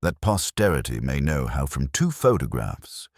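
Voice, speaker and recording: Very deep-voiced man narrating a detective story. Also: very animated, no echo, studio quality. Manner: formal, literary, measured storytelling. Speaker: audiobook narrator. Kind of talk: scripted first-person detective story narration.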